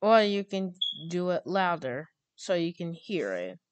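Female tutorial host, casual and halting, clear-voiced, closely recorded with no room sound. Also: lowish voice, big pitch swings, dry recording.

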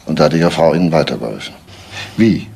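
An actor playing a persistent police detective in a radio crime drama, asking terse, insistent questions. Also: male voice, rumbling low, expressive, fast talker, gravelly, a little mellow, full character voice. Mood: probing.

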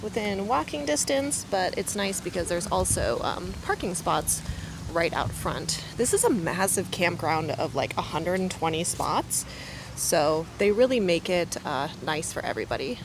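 A woman's voice: fairly low pitch, animated, a little slow, gravelly. A woman vlogging a casual campground tour outdoors, chatty and enthusiastic with many fillers, speaking into a handheld camera. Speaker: van life vlogger. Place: outdoors at a windy lakeside campground. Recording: loud wind noise outdoors.

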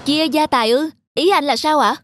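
A woman's voice: high voice, moderate pace, lightly acted. A woman voicing a meek, honest young peasant man in a folk-tale cartoon, polite and deferential. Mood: meek, dismayed. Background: background music.

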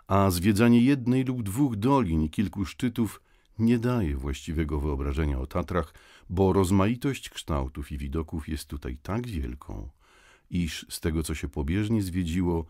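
An actor playing a reflective nineteenth-century poet-geographer, reciting literary prose for an audio guide in a solemn, measured manner. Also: male voice, deep voice, dark tone, no room sound, clean studio sound. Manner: solemn, measured, old-fashioned phrasing.

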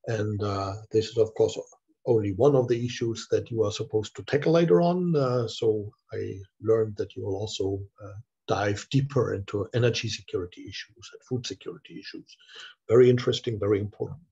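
Older man, low husky voice, halting academic talk, video call, muffled audio. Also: mellow. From Germany. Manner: halting, many filler sounds. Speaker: visiting scholar. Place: small office indoors. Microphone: laptop webcam, video call.

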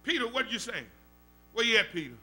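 An older man with a Southern US accent, a pastor teaching Bible study in a church sanctuary, preaching into a stand mic with call-and-response and rising shouts. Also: very high voice, very animated, fairly quick, faint music behind. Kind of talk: animated preaching. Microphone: stand mic at the pulpit.

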